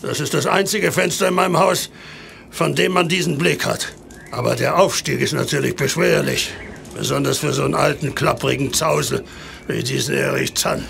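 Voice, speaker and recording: Gravelly, grumpy old landlord. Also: male voice, medium pitch, steady tone, normal speed, fairly bright tone, a little hiss or hum. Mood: grumbling. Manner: gruff, curt, barking. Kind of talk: German audio drama acting.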